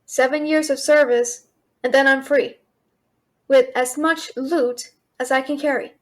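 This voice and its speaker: A woman playing a feisty young thief and alchemist held captive by a dragon, expressive and sassy in a one-sided fantasy audio roleplay. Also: high-pitched, unhurried. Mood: tempted, wondering. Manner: expressive, incredulous, sassy, questioning.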